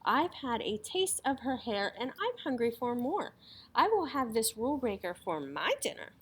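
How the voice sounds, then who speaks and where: Monster tub for a storybook woman performing: hungry, solemn, naive, theatrical, highish and animated. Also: moderate pace, husky. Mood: boastful hunger.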